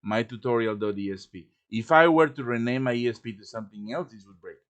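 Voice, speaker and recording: A man's voice: medium pitch, fast talker, fairly bright tone. A man giving an informal live tutorial, thinking aloud as he works through each step. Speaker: tutorial host. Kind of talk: live software tutorial, casual and improvised. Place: live stream over voice chat.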